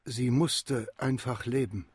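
Husky, sorrowful narrator. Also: male voice, mid-range voice, steady tone, takes it slowly, no room sound, clean studio sound. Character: sorrowful brother recalling his postwar childhood. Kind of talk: radio drama first-person narration.

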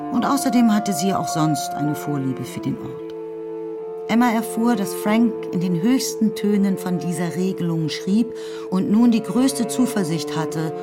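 A woman narrating a radio drama in a scripted literary style. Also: lowish voice. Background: music.

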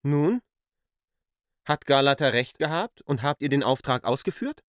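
An actor playing a wary land surveyor who asks curt, probing questions in a radio play. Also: male voice, mid-range voice, expressive, unhurried, no room sound, studio quality, natural voice.

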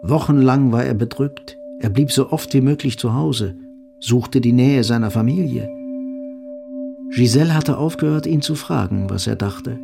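A man's voice: medium pitch, moderate pace, vocal fry, natural voice. A man reading scripted literary narration as the sober narrator of a crime audio drama. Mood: reflective. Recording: faint music behind narration.

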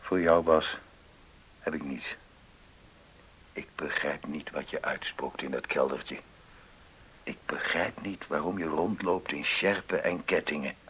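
An actor playing a stern, dying old miller in a radio play, solemn and halting, with a husky, worn voice. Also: male voice, very deep voice, fairly even intonation, a bit bright, a little hiss or hum, low-quality recording. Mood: solemn, reproachful. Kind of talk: 1970s Dutch radio play acting.

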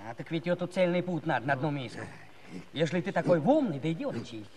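An actor playing a touchy, complaining Cossack fellow with a folksy way of talking, in a comic radio play. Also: male voice, fairly high pitch, very animated, fast, a little mellow. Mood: irritated. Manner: folksy, grumbling. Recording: crowd noise behind.

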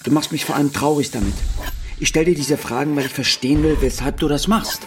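A man's voice: fairly high pitch, fast, slight background noise, natural voice. Earnest, worried man played by an actor, hesitant. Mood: worried, pleading. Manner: hesitant, searching, pleading. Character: worried, earnest male writer and close friend. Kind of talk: German radio play dialogue.

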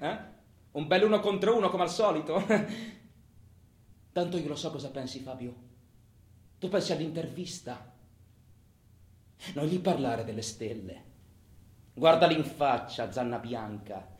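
An actor playing a tormented, volatile criminal in a theatrical monologue, foul-mouthed and swinging between muttering and outbursts. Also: male voice, a little high, natural voice. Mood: taunting, menacing. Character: tormented, volatile criminal man, taunting and cursing himself. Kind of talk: theatrical monologue, radio stage drama. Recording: roomy echo, faint background noise.

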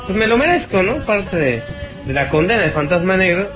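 A man's voice: sits high, expressive, fairly quick. A man with a Mexican accent hosting a radio show, giving an informal tech-advice monologue in a colloquial, mocking, teasing manner. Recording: dull lo-fi radio stream over loud music. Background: music.

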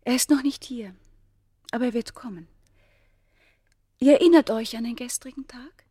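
Breathy, high-voiced noblewoman in love. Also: normal speed, mellow. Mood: tender. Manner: formal, poetic, breathy delivery. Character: noble governor's daughter of ancient Egypt, passionately in love. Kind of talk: German radio play acting.

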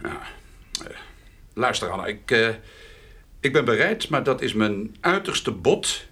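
An actor playing a pompous, wheedling village notary in a radio play, patronising and sometimes hesitant. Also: male voice, mid-range voice, very animated, a little slow, pushed and bright, husky, full character voice.

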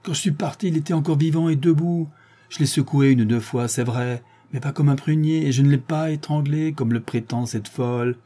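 A desperate accused man in a courtroom story, as a male reader puts him on, his voice pitched up and straining with indignation. The tone stays dark and husky underneath. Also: a little fast, natural voice. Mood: pleading. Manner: agitated, raised protesting voice.

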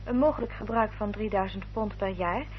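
An actress playing a sensible, protective elder sister in a radio drama, composed and articulate. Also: female voice, medium pitch, a little fast, close to an everyday voice. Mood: practical, inquiring. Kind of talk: radio drama acting and narration. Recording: dull old radio recording, faint hum.

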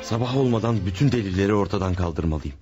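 A gloating family murderer. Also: male voice, low-pitched, animated, fast, dull audio, loud music behind the voice. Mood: scheming. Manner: muttering to himself, gloating. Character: cold-blooded man murdering his family. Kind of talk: radio drama acting. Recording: old radio play with faint hiss.

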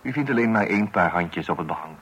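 A harried father in a radio play, trying to keep his patience. He hesitates, blusters and turns wry, his pitch leaping about on a somewhat low base. A faint hiss of old radio sits behind him. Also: expressive, fast, fairly bright tone, muffled recording. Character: exasperated father of small children, trying to stay patient. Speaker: narrator addressing the listener. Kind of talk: Dutch radio play acting. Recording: hissy old radio recording.

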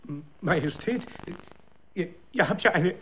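A man's voice: fairly high pitch, animated, unhurried, fairly bright tone, a little hiss or hum. An actor playing a timid, flustered male courtier in a children's radio play, hesitant and stuttering. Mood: flustered, dismayed. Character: timid, flustered Goth courtier, awed by his king.

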